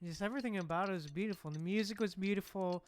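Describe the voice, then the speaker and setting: A woman's voice: medium pitch, fast talker, a little hiss or hum. A woman reacting casually to a game on a live stream, using plenty of slang. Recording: game music behind the voice.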